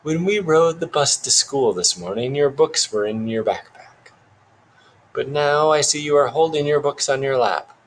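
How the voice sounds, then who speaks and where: A man voicing an eccentric schoolboy who plays a pompous detective in a theatrical, drawn-out mock British accent. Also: mid-range voice, animated, slight background noise, pitched above the performer's own voice, lightly acted, poor audio. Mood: smug, deducing.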